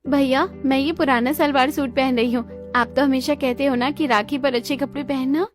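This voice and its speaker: A woman performs a devoted young sister in a cartoon story. Her voice is high, sweet and pleading, with music underneath. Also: fairly even intonation, moderate pace, lightly acted. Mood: affectionate. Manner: pleading, affectionate.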